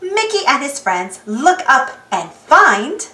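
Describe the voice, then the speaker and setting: Slow, singsong woman reading a storybook. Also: high voice, big pitch swings, sharp tone. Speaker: storybook reader. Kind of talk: children's storybook read-aloud. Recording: roomy echo, faint background music.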